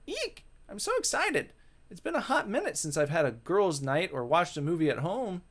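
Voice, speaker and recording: A man voicing an excitable, chatty young woman in a visual novel, gushing and sometimes halting shyly. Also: sits high, voice pushed up, exaggerated voice. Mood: excited.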